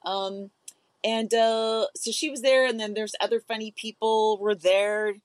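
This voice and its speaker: A woman's voice: on the high side, steady tone, unhurried, no echo. A woman comic co-hosting a podcast, talking in an easygoing, wry way with many filler words. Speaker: podcast co-host, stand-up comic. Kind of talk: casual chat.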